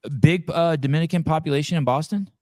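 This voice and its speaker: A man's voice: on the high side, fast talker, darkish tone, no echo. A man from California hosting a casual podcast interview, joking and rambling, often stumbling and restarting his sentences. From Santa Cruz, California. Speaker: podcast host.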